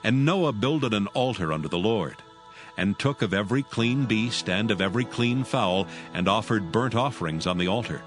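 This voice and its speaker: A man with a fairly low voice gives a solemn, measured reading as a scripture narrator. Music plays clearly behind his scripted narration. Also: animated.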